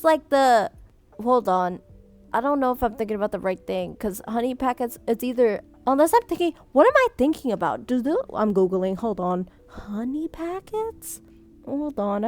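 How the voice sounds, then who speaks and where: A woman's voice: mid-range voice, a little mellow. A young woman chatting casually while reading viewer submissions, Vietnamese as her first language, laughing often and trailing off mid-sentence. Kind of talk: casual chat.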